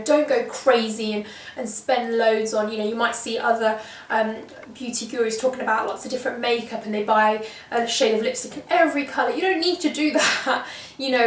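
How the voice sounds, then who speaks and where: A woman in her early thirties from the UK, chatting to camera in a relaxed vlog with lots of ums and repeated words. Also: fairly high pitch, distant mic. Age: 30s. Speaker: lifestyle vlogger. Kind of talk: casual chat to camera. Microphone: shotgun mic on camera. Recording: echoey room, mic set back.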